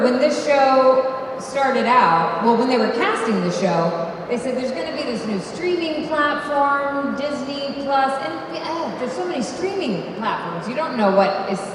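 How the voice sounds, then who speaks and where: A woman guest on a convention panel, telling her story in a thoughtful, measured way. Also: high-pitched. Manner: thoughtful, measured, lightly self-deprecating. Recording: echoey hall from far off, noisy.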